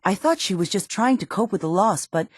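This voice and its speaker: An actress playing a worried, protective middle-aged mother in a post-apocalyptic video game, speaking earnestly and emotionally, with halting phrases. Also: medium pitch, very animated, husky, dry recording, clean studio sound. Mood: subdued, worried. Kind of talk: dramatic video game voice acting.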